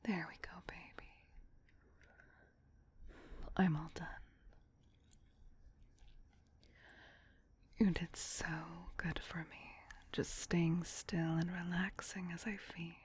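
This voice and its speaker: A woman voicing a tender, possessive vampire queen in a soft, breathy whisper, speaking intimately as ASMR roleplay. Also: fairly low pitch, little pitch movement. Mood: sated, doting. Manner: slow, intimate, doting. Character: tender, possessive vampire queen soothing her beloved pet.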